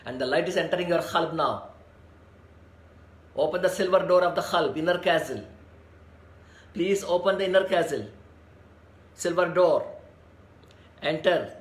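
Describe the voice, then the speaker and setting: A man from India guides listeners through a meditation in a slightly raised, gentle voice. He speaks slowly, in soothing repetitions and chanted mantras. The room around him rings with echo. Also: high-pitched. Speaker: meditation leader. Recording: echoey room.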